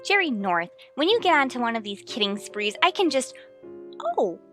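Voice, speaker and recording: A woman's voice: on the high side, big pitch swings, normal speed, a bit bright, soft background music. An American actress playing an impulsive, stubborn married woman sleuth in an old radio drama, exclaiming and stressing her words. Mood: insistent. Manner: exclamatory, emphatic. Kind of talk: mid-century radio drama acting.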